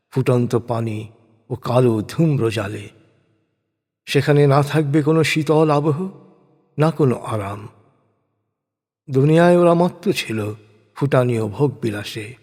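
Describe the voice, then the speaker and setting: A man reading a translated scripture as solemn scripted narration, in a measured, declamatory way. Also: mid-range voice, a little mellow. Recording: long hall-like reverb on the voice.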